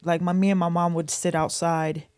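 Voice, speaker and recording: A woman's voice: lowish voice, flat delivery, normal speed, darkish tone, clean tone, no room sound, clean studio sound. A middle-aged woman from the Boston area chatting candidly as an interview guest, often repeating herself for emphasis. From Boston area, Massachusetts. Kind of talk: casual personal reminiscence.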